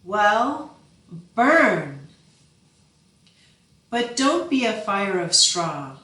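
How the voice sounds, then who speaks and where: A woman's voice: mid-range voice, big pitch swings, very slow, bright, forceful tone, far from the mic, full character voice. A woman reading the part of a giant medieval lord, a stern and fervent father who gives solemn, fierce commands in a storybook. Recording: echoey room, from off-mic.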